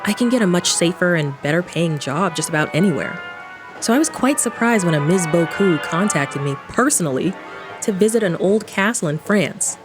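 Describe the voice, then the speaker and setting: Woman playing a wry, low-voiced female investigator. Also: lively intonation, normal speed, music in the background, lightly acted. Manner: wry, irreverent, informal. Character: sardonic young woman engineer and paranormal investigator. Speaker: protagonist narrator. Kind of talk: first-person audio drama narration.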